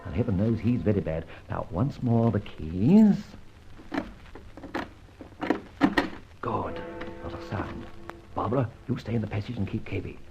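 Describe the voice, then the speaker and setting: An actor playing a witty, roguish gentleman vigilante in an old-time radio detective drama, with a theatrical, urbane, teasing manner. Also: on the low side, animated, moderate pace, creaky, over music. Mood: stealthy. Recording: muffled, noisy old radio recording.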